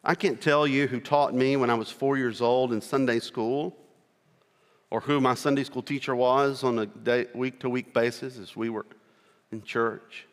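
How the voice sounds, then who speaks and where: A man's voice: mid-range voice, fairly even intonation. Earnest preacher, rural American man, reverberant. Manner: earnest, slow phrases with pauses, rising on key words. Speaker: missionary preacher. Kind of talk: sermon. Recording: long reverb of a large hall.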